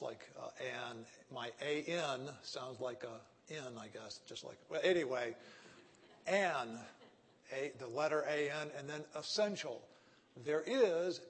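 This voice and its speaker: A man's voice: on the high side, breathy edge. A man with a Texan accent giving an ethics lecture, reasoning step by step and checking in with his listeners with frequent "right?" and "okay?". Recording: echoey hall.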